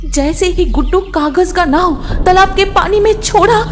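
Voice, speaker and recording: Woman reading out a story as narrator, highish voice, music going behind her. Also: moderate pace.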